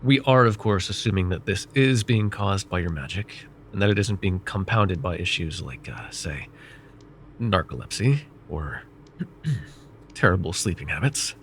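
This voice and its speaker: A man voicing a vain, wry, middle-aged male mage who counsels a student in an ASMR roleplay, speaking in a calm, measured and gently teasing way. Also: low voice, slight background noise. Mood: calm reassuring. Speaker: voice actor.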